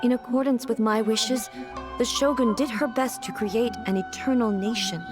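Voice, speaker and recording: A woman voices a regal, thoughtful goddess from a video game. Her voice sits fairly high, and she speaks formally and deliberately, with dignified reserve. Music is clearly heard underneath. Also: steady tone, close to an everyday voice. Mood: reflective, solemn. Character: dignified, composed female deity ruler, thoughtful and reserved. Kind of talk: video game character dialogue.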